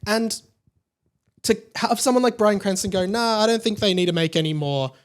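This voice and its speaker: A man's voice: high-pitched, moderate pace. An Australian man hosting a podcast, giving casual, opinionated commentary in an emphatic, conversational way. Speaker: podcast host. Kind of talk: casual solo pop-culture commentary.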